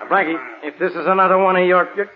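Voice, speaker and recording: An American actor playing an exasperated, easily flustered police inspector in a 1940s radio drama. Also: male voice, high-pitched, steady tone, quick pace, crowd noise, natural voice. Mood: suspicious, blustering. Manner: blustering, incredulous, sputtering. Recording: muffled vintage radio recording.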